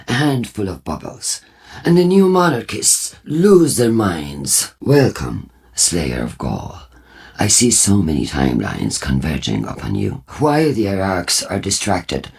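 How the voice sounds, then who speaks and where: An actor playing a zealous, cryptic robotic war-cult leader in a video game, solemn and theatrical, with a husky, gravelly voice. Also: male voice, deep voice, exaggerated voice. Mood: wry, calculating. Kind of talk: scripted video game character dialogue.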